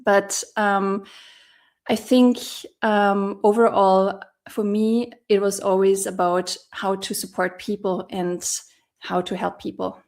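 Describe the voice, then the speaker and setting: A woman from Austria speaks as a coach in a casual interview over a video call. She talks in a mid-pitched voice, thoughtful and hesitant, with frequent ums and small pauses while she searches for words. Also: flat delivery, darkish tone. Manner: thoughtful, hesitant, many ums, laughs often. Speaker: therapeutic coach, guest.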